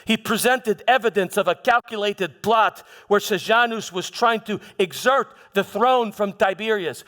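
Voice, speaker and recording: A proud, defensive Roman prefect, acted by a man in a church dramatization, recounts his deeds in a high-pitched male voice. He speaks theatrically but haltingly, doubling back on words like a soldier justifying himself. The sound rings with the long reverb of a hall. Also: a bit bright. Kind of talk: first-person church dramatic monologue.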